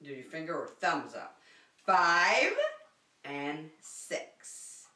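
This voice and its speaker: A woman teaches little children in a bright, forward voice at an unhurried pace. Her delivery is animated and encouraging, built on short repeated phrases. She is recorded in an echoey room at some distance from the microphone. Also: sits low. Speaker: children's circle-time teacher. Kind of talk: preschool lesson addressed to young children. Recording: roomy echo, distant microphone.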